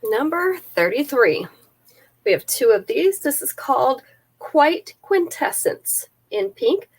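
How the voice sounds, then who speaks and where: Woman, slightly high voice, stuffy nose, chatty live seller, detailed descriptions, close camera mic. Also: very animated, slow pace, slightly rough, poor audio. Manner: chatty, careful item-by-item descriptions.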